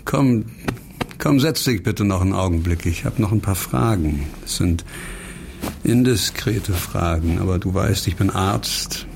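An actor playing a disgraced doctor fresh out of prison in a radio crime drama, sardonic, blunt and intense. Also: male voice, deep voice, a little slow, creaky, a little hiss or hum. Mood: probing, wry. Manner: probing, blunt, mixing wry irony with exasperation.

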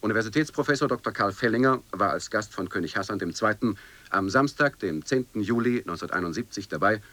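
A man narrating a scripted radio piece in formal, measured declamation, like a chronicle or news report. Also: on the low side, animated, sharp tone, dry recording.

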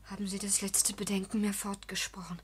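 An actress as an anxious, yearning young housewife. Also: female voice, mid-range voice, little pitch movement, a little fast, lightly acted. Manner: anxious, hesitant, earnest. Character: timid, yearning young petit-bourgeois housewife. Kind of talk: 1960s German radio play acting. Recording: 1960s radio recording with hiss, clicks.

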